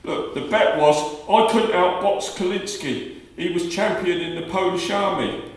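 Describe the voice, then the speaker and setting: A man's voice: sits high, unhurried. A man playing a guilt-ridden, hot-tempered working-class labourer in a radio play, talking bluntly and colloquially. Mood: shaken, confessing. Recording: very echoey room, distant mic.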